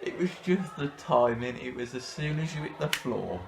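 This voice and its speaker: A man's voice: mid-range voice, animated, normal speed, gravelly. A man casually recounting a moment he remembers, in conversation. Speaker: pantomime cast member. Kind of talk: casual chat, recounting a memory. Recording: echoey room, faint noise.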